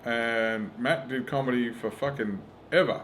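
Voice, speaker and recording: A man's voice: medium pitch, a little slow, fairly bright tone, slight background noise. A middle-aged Australian man, a stand-up comedian, chatting casually and swearing freely as he tells emphatic stories. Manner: profane, emphatic storytelling with acted-out quotes. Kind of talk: casual chat.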